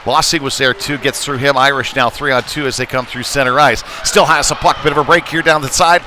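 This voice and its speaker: Male rinkside play-by-play commentator for youth hockey, mid-pitched, casual and halting, lifting with the play, noticeable arena noise. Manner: conversational, hesitant, rising excitedly on shots. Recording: noisy rink ambience behind voice.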